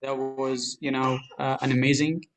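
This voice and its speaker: A man's voice: medium pitch, level tone, moderate pace, poor audio. A man moderating an online webinar, speaking hesitantly with many fillers and polite thanks, over a video call.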